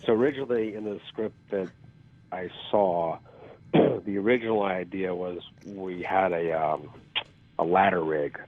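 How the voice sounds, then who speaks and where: Casting note: deep, husky-voiced man, self-deprecating storyteller, heard over a tinny phone line. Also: a little slow, no echo. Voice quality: raspy. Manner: rambling, self-deprecating, with filler ums. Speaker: actor guest. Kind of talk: casual interview storytelling. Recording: phone-like call audio.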